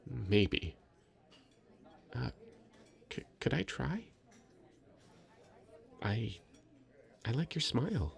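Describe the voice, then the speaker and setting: A man's voice: medium pitch, big pitch swings, drawn-out words, vocal fry, pauses often, heavily acted. A man playing a shy, sweet, nervous young nerd in an intimate ASMR roleplay, stammering and rambling self-consciously. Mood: awkward, sweet. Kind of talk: scripted ASMR roleplay, intimate conversational acting.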